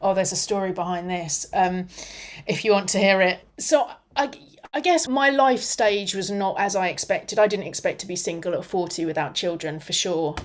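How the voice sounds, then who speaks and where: A woman in her forties, a radio interview guest, telling a personal story in an animated, reflective way. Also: fairly low pitch, moderate pace. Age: 40s.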